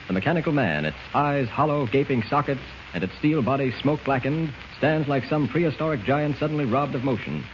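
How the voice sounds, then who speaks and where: A male announcer delivers dramatic serial narration with declamatory suspense. His mid-pitched voice comes through a dull, old radio recording with faint scratchy noise.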